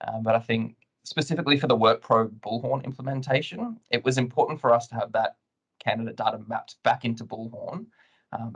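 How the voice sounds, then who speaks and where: Male corporate guest for webinar interview: low register, little pitch movement, measured and explanatory. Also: creaky, slightly rough. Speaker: client guest, compliance lead.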